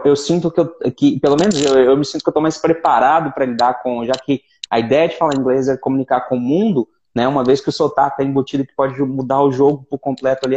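A Brazilian man talks in a relaxed panel chat. He halts often and repeats words while searching for what to say. His voice comes through a dull, lo-fi recording. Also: medium pitch, slight background noise. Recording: dull lo-fi sound.

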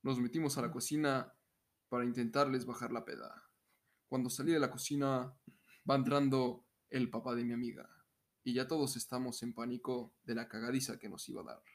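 A man's voice: mid-range voice, fairly even intonation, a little fast. A young man with a Mexican accent co-hosting a podcast in casual comedic chat and story reading, jokey and prone to breaking into laughter. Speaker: podcast co-host.

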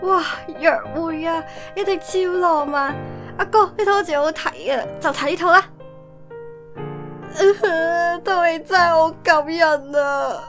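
A woman with a Hong Kong accent playing an excitable, easily scared little sister in a comedic radio drama, with dramatic, exclamatory delivery. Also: very high-pitched, unhurried, faint music behind, exaggerated voice. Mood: excited.

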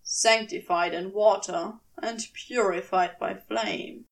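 A woman voicing a cool, weary, reproachful man with a dry, measured manner in a fan fiction audiobook. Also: mid-range voice, steady tone, creaky, far from the mic, voice pushed down, rough recording. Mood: solemn, matter-of-fact.

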